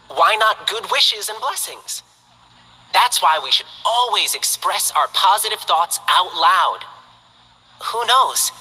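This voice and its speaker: A man voicing a cheerful, earnest young lion dancer who talks in a bright, friendly, enthusiastic way in game character voice lines. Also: high-pitched, husky, long reverb tail, exaggerated voice, poor audio.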